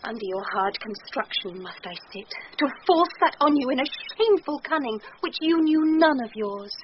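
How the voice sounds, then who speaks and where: A dull-sounding radio broadcast with faint noise. a proud noblewoman with a very high voice, stately but ardently in love. She speaks Shakespearean verse. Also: expressive, moderate pace. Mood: ardent, ashamed. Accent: British. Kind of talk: Shakespearean radio drama acting.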